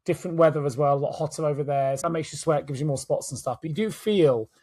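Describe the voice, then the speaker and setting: A man with a slightly husky voice, chatting upbeat on a podcast from his home office over a video call. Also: on the high side, fast, warm tone. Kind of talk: casual conversational podcast chat.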